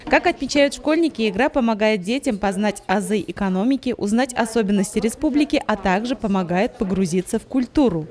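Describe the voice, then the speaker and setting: A woman's voice: mid-range voice, expressive, fairly quick, hardly any pauses, darkish tone. A woman reading a scripted news report as a reporter. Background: music bed.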